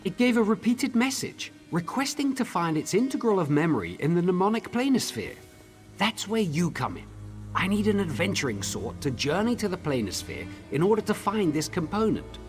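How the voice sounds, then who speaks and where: Casting: enthusiastic fantasy-game inventor, higher register, theatrical and articulate, music underneath. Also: male voice, big pitch swings, normal speed. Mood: explanatory, keen. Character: eager male tinkerer-scholar, enthusiastic about his research. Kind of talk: fantasy video game character dialogue.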